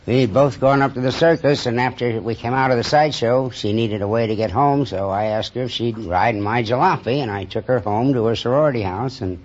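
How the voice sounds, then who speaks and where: A man's voice: mid-range voice, a little fast, few pauses. A middle-aged man with an American accent, giving plain, matter-of-fact answers as a quiz contestant. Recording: dull old radio, faint noise.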